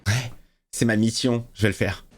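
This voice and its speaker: A man's voice: fairly low pitch, fairly even intonation, normal speed, a little hiss or hum. A man giving sarcastic, crude running commentary while watching a show, laughing at the characters. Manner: mocking, laughing, crude asides. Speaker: reaction video host.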